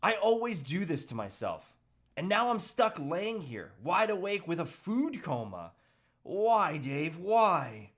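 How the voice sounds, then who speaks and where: A man acts out a troubled family man in a comedy table read. His voice sits fairly high and swings widely as he pleads, hesitates and earnestly talks himself out of temptation. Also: big pitch swings, slow pace, a bit bright, full character voice. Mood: miserable, regretful. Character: troubled husband and father resisting temptation, earnest, self-pitying. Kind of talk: live table read of a movie script.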